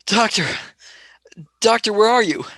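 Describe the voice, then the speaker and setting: A man's voice: high-pitched, unhurried, creaky, fairly bright tone, dry recording, exaggerated voice. A man playing an eager, earnest young space traveller's companion in an amateur radio play.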